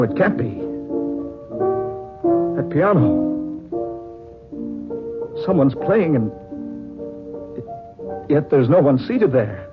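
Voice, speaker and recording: An actor playing a wealthy man with a guilty secret in a 1940s radio drama, theatrical and given to dramatic pauses. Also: on the high side. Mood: horrified dread. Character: wealthy suave man haunted by guilty dread. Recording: dull old radio recording, piano music behind.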